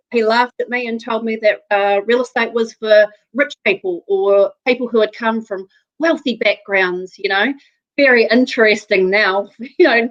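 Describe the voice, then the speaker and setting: A woman's voice: a little high, lo-fi sound. A woman in her thirties from New Zealand with a New Zealand accent, guest on a live interview show, talking earnestly and laughing between phrases.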